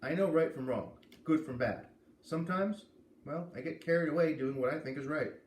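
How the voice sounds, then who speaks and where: A man's voice: on the high side, normal speed, pitched above the performer's own voice, natural voice. Man voicing a jailed father, stubborn, good-humored, casual, storybook dialogue, roomy echo, background noise. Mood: defiant. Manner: casual, self-justifying. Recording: echoey room with clear background noise.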